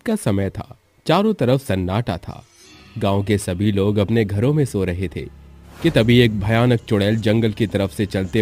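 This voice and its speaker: A man's voice: fairly low pitch, darkish tone. A man narrating a scripted horror story as a storyteller. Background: faint background music.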